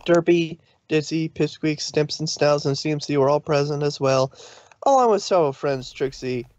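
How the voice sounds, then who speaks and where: A man's voice: on the high side, normal speed, a little mellow, dry recording, lo-fi sound. A man narrating a fan fiction story from a script.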